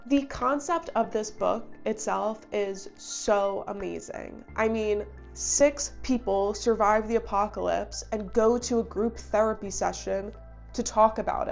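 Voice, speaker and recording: Young female book reviewer, stuffy with a cold, keen and chatty, some vocal fry, dull lo-fi sound. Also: mid-range voice. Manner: chatty, enthusiastic, thinks aloud. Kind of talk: casual book review talk.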